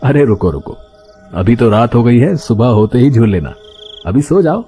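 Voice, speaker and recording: A cautious, anxious young husband in a cartoon ghost tale, voiced by a man. His voice is medium-pitched and dark, soft-edged, over faint music. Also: very animated, normal speed, lightly acted. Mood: dismissive. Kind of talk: dramatized cartoon horror story.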